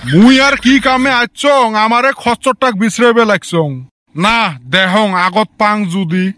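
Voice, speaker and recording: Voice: medium pitch, fairly even intonation, measured pace, a bit bright, slight background noise, natural voice. A performer voicing a scolding middle-aged village father in a comic cartoon, speaking a rural Goalpara Bengali dialect full of exclaimed rhetorical questions. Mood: exasperated.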